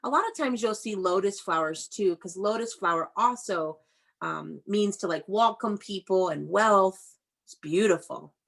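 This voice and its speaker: A woman's voice: mid-range voice, a little slow, gravelly, a bit bright. A woman teacher reading aloud to young children in an interactive video-call lesson, instructing and prompting with questions and repetition. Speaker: elementary school teacher.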